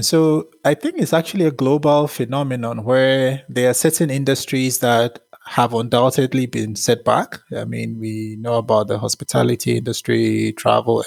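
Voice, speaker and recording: A man from Ghana, around his thirties, explains his work as a tech founder. He talks in a measured, thoughtful way, often pausing on small fillers. His voice has a gravelly edge and sits close and dry on the mic. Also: medium pitch, dry recording. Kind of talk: podcast interview answers.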